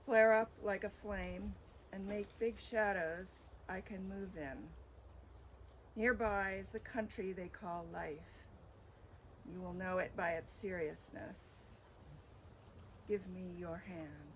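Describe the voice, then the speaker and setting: A woman speaking at an outdoor memorial, reading a remembrance and a poem in a measured, gentle way. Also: medium pitch, steady tone, a little hiss or hum. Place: outdoors at a home on an island.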